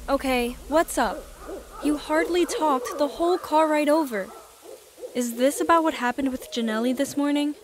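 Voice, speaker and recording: A woman plays a girl of about twelve in an audio drama, with a very high voice. She is frank and protective, talking directly but reassuringly, like a caring sister. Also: normal speed, lightly acted. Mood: probing. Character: frank, protective seventh-grade twin sister.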